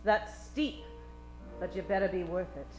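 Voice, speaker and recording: A woman's voice: fairly low pitch, normal speed, close to an everyday voice. A woman playing a haughty, scheming client in an old-time radio detective parody. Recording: roomy stage, faint music.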